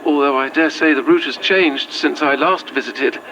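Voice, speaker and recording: A woman's voice: deep voice, moderate pace, a bit bright, dull audio, a little hiss or hum. A woman playing a protective, anxious Victorian wife in a horror audio drama, with formal, old-fashioned phrasing. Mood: calm, affectionate.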